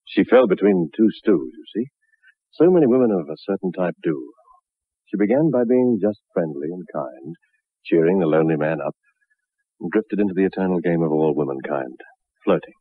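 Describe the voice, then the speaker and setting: Deep, husky, worldly storyteller. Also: male voice, lively intonation, moderate pace, dry recording, heavily acted. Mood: knowing. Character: worldly, knowing male storyteller. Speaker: narrator. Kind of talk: scripted storytelling in 1960s radio drama. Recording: muffled, narrow-band 1960s radio recording.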